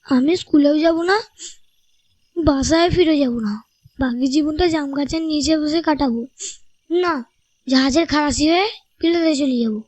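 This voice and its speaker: A woman's voice: high voice, moderate pace, darkish tone, dry recording, close to an everyday voice. A woman playing an upset, stubborn young schoolboy in an audio story, whiny and dramatic. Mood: despairing, stubborn.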